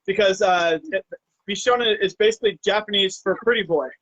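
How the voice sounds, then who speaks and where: A man chatting over a video call from his hot tub, talking in a halting way with many restarts. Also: high voice, fairly bright tone, dry recording, highs cut off. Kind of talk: casual chat. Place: home hot tub, outdoors in a storm.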